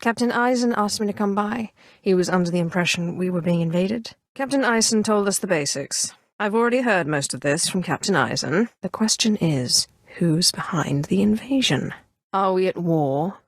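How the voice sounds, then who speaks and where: A woman's voice: on the low side, lively intonation, moderate pace, dry recording, studio quality. A woman acting a haughty, sardonic young Sith sorceress in a video game, with a cool, imperious and dryly mocking delivery. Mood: formal, composed. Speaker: video game player character. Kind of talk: scripted video game dialogue.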